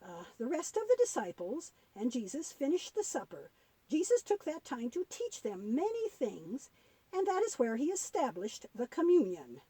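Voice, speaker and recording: A woman voicing a kindly, patient old granny puppet who tells Bible stories to children in a halting, gently instructive way. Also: sits high, huge pitch swings, husky, no echo, full character voice, low-quality recording. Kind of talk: puppet-show storytelling for children.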